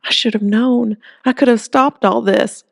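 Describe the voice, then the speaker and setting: A woman's voice: a little high, fairly even intonation, unhurried, gravelly, dry recording, clean studio sound, voice pushed up. A woman voicing an anxious, indoorsy young man who pleads in a strained, rising voice. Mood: angry.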